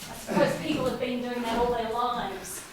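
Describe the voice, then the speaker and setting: A woman's voice: fairly high pitch, normal speed, natural voice. A woman acting in a stage drama as a brazen, seductive wife with a mocking, provocative manner. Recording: very echoey room, distant mic, noisy.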